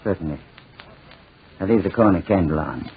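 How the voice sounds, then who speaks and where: A man's voice: deep voice, moderate pace, lightly acted. An actor playing a tormented, paranoid old man in a melodramatic 1940s radio drama style, repeating himself desperately. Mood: uneasy, brooding. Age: older. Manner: fraught, melodramatic, repeats phrases. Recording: scratchy, noisy, tinny 1940s radio recording.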